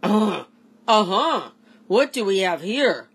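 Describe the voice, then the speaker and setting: A woman's voice: medium pitch, very animated, slow pace, slightly rough, heavily acted. Woman as a sly, polite shopkeeper man. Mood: polite, curious. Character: sly, polite male dealer in alien oddities. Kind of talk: children's storybook read-aloud.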